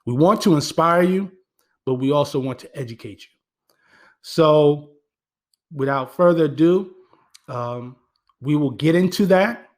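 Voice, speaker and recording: A man hosting a community radio show, introducing the episode in an earnest, steady way with frequent fillers. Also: on the high side, unhurried, pauses often.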